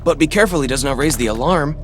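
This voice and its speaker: An actor playing a humble young martial arts student in a video game, earnest and eager in formal speech. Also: male voice, on the high side, animated, very fast, over music, natural voice. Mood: ashamed, earnest. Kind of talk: video game cutscene voice acting.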